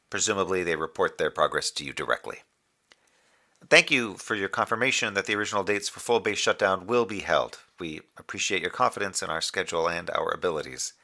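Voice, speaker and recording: A moon base communications officer in an audio drama, a man with a very low voice and a crisp, forceful edge. He delivers official reports in measured, formal phrases. A dry, sardonic streak runs under the dutiful manner. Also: exaggerated voice. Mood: restrained, pointed. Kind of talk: scripted audio drama monologue as a radio report.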